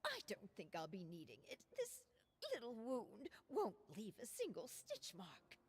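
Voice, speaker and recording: A woman voicing a gracious, unflappable elder lady in an anime-style game, with a dramatic drawl and husky, breathy tone. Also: medium pitch, huge pitch swings, unhurried, a little mellow, exaggerated voice. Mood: amused.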